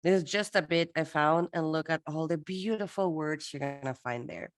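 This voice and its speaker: Low-voiced woman from Peru teaching. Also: normal speed. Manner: lively, playful teaching with acted-out dialogue. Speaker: workshop presenter, interpreter. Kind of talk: online workshop presentation.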